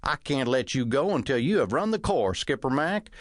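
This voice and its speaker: A man's voice: medium pitch, big pitch swings, moderate pace, no echo, studio quality, pitched above the performer's own voice, lightly acted. A man voicing a hearty, commanding, folksy ex-Marine gunnery sergeant in his sixties for an audiobook. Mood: insistent. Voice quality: loud, megaphone-like. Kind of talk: audiobook character voice.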